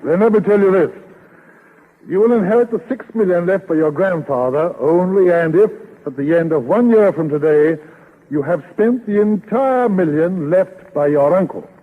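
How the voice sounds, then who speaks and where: An actor playing an earnest, fussy lawyer in 1930s radio comedy, speaking formally and carefully. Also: male voice, sits high, lively intonation, normal speed. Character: earnest, fussy male lawyer breaking news gently. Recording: muffled old 1930s radio recording.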